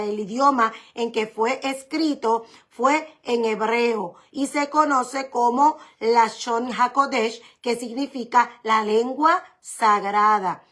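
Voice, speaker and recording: A woman pastor giving a didactic sermon, explaining things deliberately and repeating key points for emphasis. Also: fairly high pitch, fairly bright tone.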